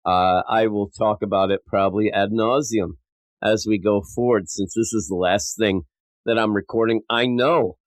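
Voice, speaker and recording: A sick, stuffed-up man hosting a podcast in a rambling, self-mocking way, his voice a little rough and wavering. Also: lowish voice, steady tone, moderate pace, no echo. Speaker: podcast host. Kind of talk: casual podcast monologue.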